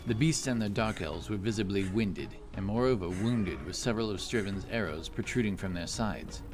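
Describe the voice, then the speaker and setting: A man narrates a scripted fantasy audio drama. His voice sits fairly low and he tells the story dramatically. Heavy music runs loudly underneath. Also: moderate pace. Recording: very loud music behind.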